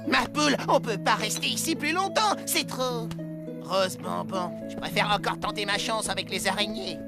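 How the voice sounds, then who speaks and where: A woman's voice: mid-range voice, expressive, moderate pace, vocal fry. A woman voicing a cocky, greedy, slangy young boy with a blunt, mocking manner in a French cartoon dub. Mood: grumbling. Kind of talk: animated cartoon dub acting. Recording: loud cartoon music behind.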